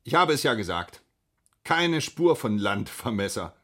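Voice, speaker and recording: A man's voice: medium pitch, sing-song, unhurried, fairly bright tone. A man playing a spiteful, self-righteous young village official in a literary radio drama, speaking with scorn. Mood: scornful.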